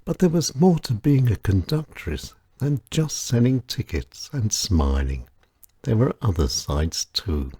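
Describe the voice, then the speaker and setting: A man with a deep, low voice narrates short stories at an unhurried pace. His delivery rises and falls expressively, and his tone is mellow with a slightly husky edge. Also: very animated. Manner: gentle, measured storytelling with lilting emphasis. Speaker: storyteller.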